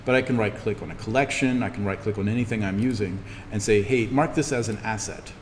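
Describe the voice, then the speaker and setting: A man giving an informal in-class software tutorial as the instructor, thinking aloud haltingly as he demonstrates. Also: lowish voice. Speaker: college instructor. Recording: reverberant room, clearly noisy background.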